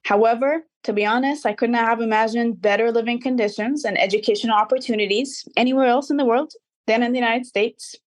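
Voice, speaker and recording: A woman's voice: on the high side, fairly even intonation, fast. Young woman. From Dubai. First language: Arabic. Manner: earnest, reflective, slightly formal. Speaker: guest, recording artist. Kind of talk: interview answers.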